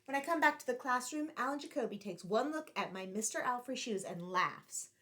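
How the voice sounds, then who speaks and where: A woman reading a picture book aloud to children in an expressive, deliberately phrased storytelling style, like a teacher. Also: fairly high pitch.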